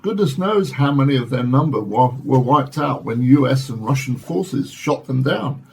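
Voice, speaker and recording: A man playing an academic scientist in a radio drama, arguing in a formal, measured and earnest way. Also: mid-range voice, expressive, gravelly, a little mellow, a little hiss or hum. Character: measured Oxford scientist defending alien visitors. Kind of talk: radio play acting as studio discussion.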